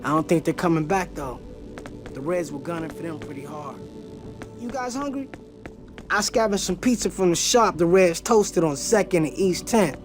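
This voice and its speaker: A man's voice: a little high, animated, normal speed, close to an everyday voice. Game cutscene role: young streetwise rebel, male actor, cocky, eager, slangy, over background music. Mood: relaxed, friendly.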